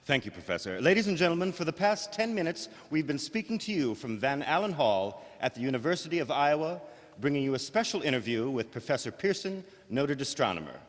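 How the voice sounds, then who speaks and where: An actor playing an earnest radio news reporter in an old-time radio drama, speaking in a formal, measured announcer style. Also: male voice, a little high, very animated. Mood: formal, announcing. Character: earnest, probing male radio news reporter interviewing live. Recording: hall reverb.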